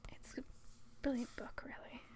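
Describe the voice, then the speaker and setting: A young British woman speaking in a soft, breathy whisper, chatting casually and a little hesitantly to her viewers in ASMR style, close to the microphone. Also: normal speed. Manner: whispery, hushed, hesitant and apologetic. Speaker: ASMR video host.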